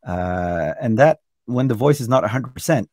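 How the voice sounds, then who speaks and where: A middle-aged man with a Norwegian accent, a bit hoarse, chatting eagerly in an interview over a video call with many restarts. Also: mid-range voice, animated, moderate pace, a little mellow, no echo, studio quality. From Norway. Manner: chatty, enthusiastic, frequent restarts. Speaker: singer and contest entrant. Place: hotel room indoors.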